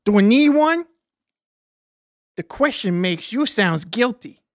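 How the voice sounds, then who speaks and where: An actor playing a menacing police officer with an East Coast American accent, curt and confrontational. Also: male voice, high voice, expressive, slow pace, no echo, studio quality. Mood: pushy, confrontational.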